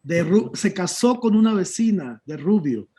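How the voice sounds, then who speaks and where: A man's voice: high-pitched, animated, normal speed, crowd noise. A man from Táchira, Venezuela, moderating a panel over a video call, conversational and asking long questions.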